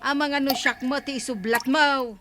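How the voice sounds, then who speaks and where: Woman as disapproving neighbour, slightly high voice, comedy drama, clear background noise. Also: fairly even intonation, moderate pace, fairly bright tone. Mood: reproachful. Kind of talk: Ilocano comedy drama acting.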